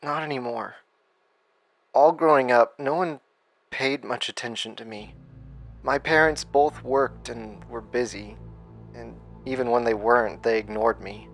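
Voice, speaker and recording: A man's voice: medium pitch, soft background music, close to an everyday voice. A man playing a vulnerable young captive in a horror audio drama, speaking hesitantly. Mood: wistful, subdued. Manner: hesitant, halting, subdued. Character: neglected, frightened young man held captive, groggy.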